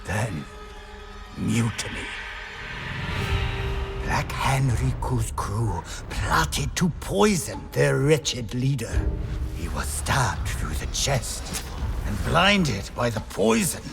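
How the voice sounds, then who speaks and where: An actor playing a haunted pirate sailor who spins grim legends with theatrical, ominous pauses in a video game. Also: male voice, medium pitch, big pitch swings, creaky, over music, heavily acted. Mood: grave, foreboding. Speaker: storyteller. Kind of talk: dramatic campfire-style storytelling in a video game.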